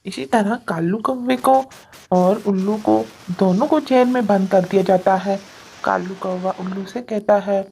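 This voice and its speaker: A woman's voice: mid-range voice, fairly even intonation, measured pace, warm tone, slight background noise. A woman reading a scripted children's story as its narrator. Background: music.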